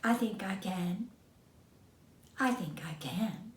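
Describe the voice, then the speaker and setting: A woman plays a small storybook train engine, female and kind. She speaks softly and modestly with steady resolve, unhurried, in an echoey room. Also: mid-range voice. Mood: quietly determined. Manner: gentle, soft-spoken. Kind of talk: children's storybook character voice.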